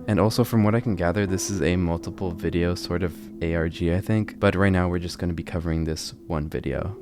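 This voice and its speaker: A man hosting a horror video channel, giving casual commentary on clips. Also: low voice.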